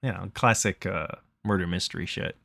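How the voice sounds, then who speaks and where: A man's voice: sits low, normal speed, creaky, pushed and bright, dry recording. A man live streaming a visual novel, reading it aloud and chatting casually.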